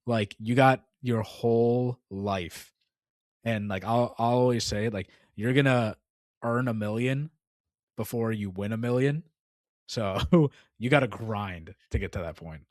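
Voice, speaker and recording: A man's voice: on the low side, a little slow, a little mellow, no echo, studio quality. A young man co-hosting a casual gaming podcast, rambling and filling his talk with "like" and "dude.". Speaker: podcast co-host.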